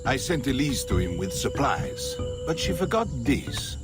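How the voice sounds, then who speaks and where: A roguish criminal boss from a video game. He talks slowly in a highly animated, teasing and theatrical way, with clear music underneath. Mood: sly, flirtatious. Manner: theatrical, teasing, mock-wounded. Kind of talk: dramatic video game acting.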